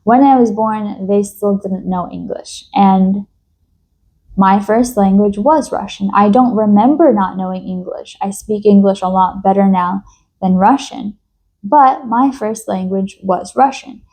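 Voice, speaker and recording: A young woman from New York talks in a slow, reflective personal monologue, thoughtful and hesitant, with many fillers and restarts. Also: mid-range voice, warm tone. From United States. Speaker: vlogger.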